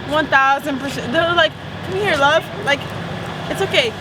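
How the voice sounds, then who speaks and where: A young woman talks in a high, bright register, giggling and filling every pause with "like". She answers casual street questions outdoors, with loud traffic and city noise all around. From El Salvador. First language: Spanish. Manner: chatty, giggly, many fillers. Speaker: interviewee.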